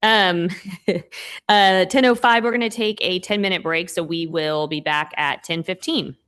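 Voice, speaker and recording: A woman with an American accent chairing a meeting, briskly keeping the room on schedule. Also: on the low side, fairly bright tone, clean tone.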